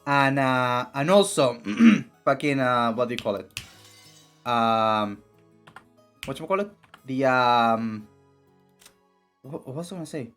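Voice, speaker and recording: A young man with a raspy voice streaming casually, rambling with many fillers and restarts. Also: mid-range voice, slow pace, pauses often, fairly bright tone, faint music behind. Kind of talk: casual live-stream chat and reading game text.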